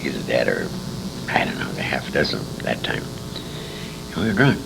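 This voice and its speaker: A man's voice: a bass voice, sing-song, normal speed, gravelly. An older man casually reminiscing as an interviewee, rambling and emphatic, with a salty, bawdy storytelling manner. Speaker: interviewee, old-time radio veteran. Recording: noisy amateur interview recording.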